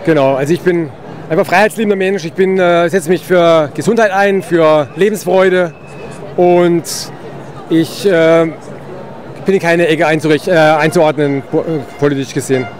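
A man's voice: on the high side, steady tone, moderate pace, a faint crowd. Hesitant man in a street interview. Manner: hesitant, with fillers. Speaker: demonstrator. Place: outdoors at a city protest.

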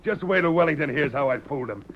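An actor playing a sly, excitable gunman henchman with an American Western drawl and a folksy, blustering way of talking, in a 1930s radio western. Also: on the high side, quick pace, slight background noise, close to an everyday voice. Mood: gloating. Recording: muffled old 1930s radio broadcast.

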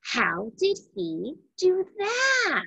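A woman reading a children's Bible storybook aloud in a deliberate, emphatic way for young listeners. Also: extremely high pitch, wildly swooping pitch, gravelly, bright, forceful tone, no echo, dull audio.

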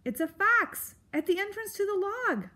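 A timid young girl mouse from a children's storybook, acted by a woman reader. Her voice is small and high. She speaks politely and hesitantly, always apologetic. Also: animated, slow pace. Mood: frightened, polite.

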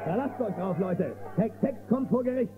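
A man's voice: high voice, lively intonation, normal speed, over music. An actor playing a heroic, confident space western lawman in a children's audio drama, a man's voice. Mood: firm. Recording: tinny, noisy old cassette audio drama.

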